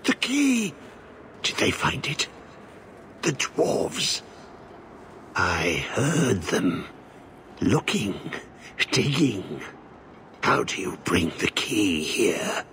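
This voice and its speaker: Crazed, gravelly old muttering wretch. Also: male voice, fairly low pitch, huge pitch swings, extremely slow, long pauses, fairly bright tone, a little hiss or hum, heavily acted. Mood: agitated, searching. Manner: broken sentences, muttering, repeating phrases, erratic. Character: crazed old corrupted warden lurking in prison, muttering. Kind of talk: dramatic fantasy game acting.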